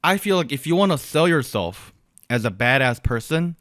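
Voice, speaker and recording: A man's voice: medium pitch, sing-song, moderate pace, a bit bright, dry recording, studio quality. A man whose first language is Korean, co-hosting a podcast in casual chat, opinionated and joking. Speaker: podcast co-host.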